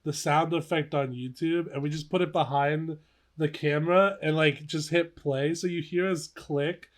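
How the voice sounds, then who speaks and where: A young man co-hosting a casual podcast, telling stories in an animated, giggly way. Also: fairly high pitch, normal speed. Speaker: podcast co-host. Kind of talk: casual podcast chat, reminiscing.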